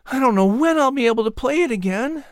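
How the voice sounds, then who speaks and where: Narrator playing young boy, high pitch, eager, grateful, excitable, storybook dialogue. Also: fast talker, no echo, studio quality. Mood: earnest. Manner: bright, earnest, excitable.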